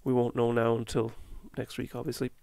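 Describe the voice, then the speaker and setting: A man losing his voice, talking through market charts in a halting way, with many fillers and self-corrections. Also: mid-range voice, flat delivery, vocal fry, a little mellow, no echo. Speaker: market analyst. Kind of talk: chart walkthrough.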